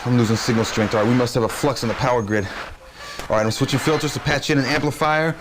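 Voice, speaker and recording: An actor playing a cocky young hacker and extraction specialist in a video game, slangy and wry. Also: male voice, mid-range voice, steady tone, quick pace, noisy background, natural voice. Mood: impatient, focused. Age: young adult. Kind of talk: video game cutscene acting.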